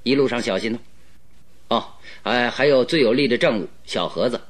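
An actor playing a shrewd, playful master detective in a Mandarin radio drama, with a leisurely, theatrical, self-assured manner. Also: male voice, medium pitch, fairly quick, lightly acted. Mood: relaxed, amused. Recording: faint music behind the voice.

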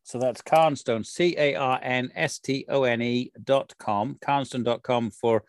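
A man's voice: medium pitch, steady tone, measured pace, no room sound. A man hosting an interview, genial and with frequent fillers. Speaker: podcast host. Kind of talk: conversational interview.

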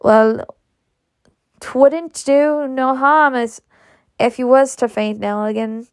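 A woman's voice: sits high, fairly even intonation, slow, dry recording. A woman voicing a bossy, doting older nursemaid who scolds in drawling folksy speech.

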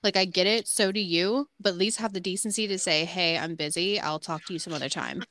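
A woman's voice: fairly low pitch, steady tone, a little fast, background noise. A woman in her late twenties co-hosting a podcast, chatting casually and bluntly, with a frank, filler-heavy way of talking. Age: 20s. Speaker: podcast co-host. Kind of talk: casual chat.

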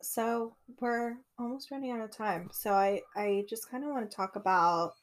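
A woman's voice: on the high side, normal speed. A young woman co-hosting a casual conversation, talking freely with frequent "like" fillers. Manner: chatty, lots of "like" fillers. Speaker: podcast co-host.

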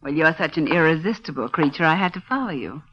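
A woman's voice: low voice, lively intonation, fast, a bit bright, slight background noise. An actress playing a sly, sardonic gangster's woman in a 1950s radio crime drama, arch and flirtatious. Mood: teasing, arch. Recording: muffled 1950s radio recording.

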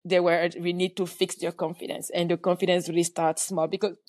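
Woman, middle-aged guest, slightly low pitch, stop-start phrasing, dry close sound. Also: flat delivery, darkish tone, no echo. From Cameroon. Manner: halting, many fillers and restarts. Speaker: guest entrepreneur. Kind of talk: conversational interview answer.

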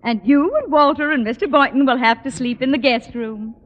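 A woman plays a soothing but fussy middle-aged American wife in a vintage radio comedy. Her voice is high and very animated. The old recording sounds dull, with long reverb. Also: brisk, shouting, lightly acted. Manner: fussy, placating. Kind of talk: 1940s radio comedy acting.

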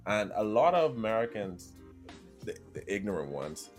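A man from the Bahamas with a thick Bahamian accent, hosting a podcast in animated, laughing chat. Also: on the low side, normal speed, faint music behind. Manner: animated, laughs while talking. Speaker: podcast host.

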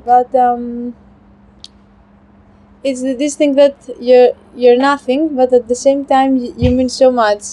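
Woman, mellow voice, fairly high, reflective, word-searching. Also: little pitch movement, slow, rough recording. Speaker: interviewee. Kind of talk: casual street interview. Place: outdoor fair in a park.

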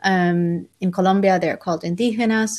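A woman in her forties, raised in Germany, telling her story in an interview as a spiritual author, relaxed and thoughtful with frequent pauses. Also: on the low side. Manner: relaxed, thoughtful, many ums, light laughs.

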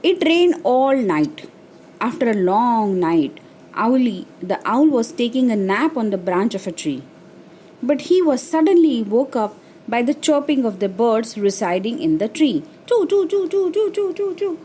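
A woman storyteller with a slightly high, soft-edged and mellow voice. She narrates for young children in a simple, deliberate way, as in a bedtime tale. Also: expressive, a little hiss or hum.

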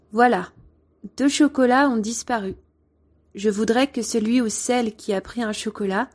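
A French woman with a light Angevin accent, a former schoolteacher gently narrating her own classroom memories. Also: medium pitch. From France. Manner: gentle, unhurried storytelling. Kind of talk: first-person story narration.

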